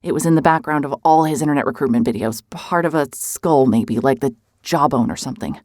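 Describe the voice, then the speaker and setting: An actress playing a hard-hearted private investigator who stammers and restarts when anxious, also narrating in first person. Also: female voice, low-pitched, brisk, darkish tone. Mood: hesitant. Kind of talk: audio drama acting and first-person narration.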